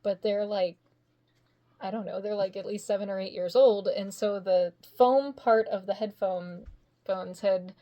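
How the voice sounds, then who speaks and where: A woman's voice: medium pitch, fairly even intonation, unhurried, dull audio. Chatty, giggly woman. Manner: chatty and giggly, with rambling hesitant phrasing. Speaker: voice actor guest. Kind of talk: casual interview chat.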